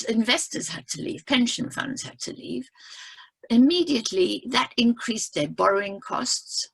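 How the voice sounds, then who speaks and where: An older woman from South Africa talks on a video call. Her husky, gravelly voice rises and falls a lot as she tells the story with emphasis. She keeps checking in with her listeners. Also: fairly high pitch, a bit bright, low-quality recording. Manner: emphatic storytelling with frequent "right?" asides. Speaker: economist speaking on a webinar panel. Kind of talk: impassioned explanatory talk.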